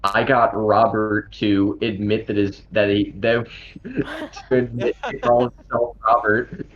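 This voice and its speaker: A man's voice: lowish voice, little pitch movement, a little slow, fairly bright tone, muffled recording. A man talking casually with friends as a player in a tabletop game. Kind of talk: casual chat.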